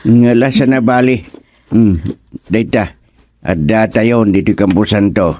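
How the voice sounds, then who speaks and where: Weary Ilocano village man, dark-toned and grumbling, for radio comedy played by a male actor. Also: on the low side, measured pace, no echo, natural voice. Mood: weary complaining.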